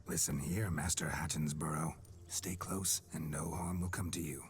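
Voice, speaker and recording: An actor playing a pompous, commanding nobleman in a video game, with a husky voice and a posh, haughty manner. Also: very deep voice, fairly even intonation, normal speed, a little hiss or hum. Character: pompous male nobleman speaking through a witcher.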